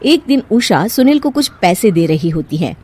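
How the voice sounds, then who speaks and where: A woman's voice: mid-range voice, sing-song, moderate pace, a little mellow, breathy edge. A woman narrating a scripted story as its storyteller.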